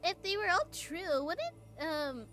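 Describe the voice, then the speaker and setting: A woman's voice: very high-pitched, very animated, slow, a bit bright, faint music behind, pitched above the performer's own voice, heavily acted. A woman voicing a chatty, curious young woman who gossips breezily while reading character lines.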